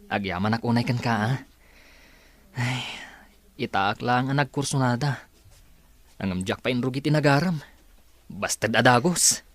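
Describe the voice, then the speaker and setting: A man's voice: mid-range voice, moderate pace, pauses often, fairly bright tone, natural voice. Man acting a lovelorn young Ilocano student. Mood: dejected. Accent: Filipino, Ilocano. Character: lovelorn, resigned young male college student. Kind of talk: radio drama acting.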